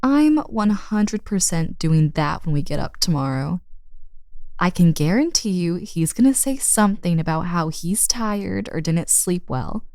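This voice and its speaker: A woman's voice: lowish voice, darkish tone, dry recording. A woman playing a friend's friendly, teasing older sister in a casual, intimate roleplay audio drama. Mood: playful, teasing.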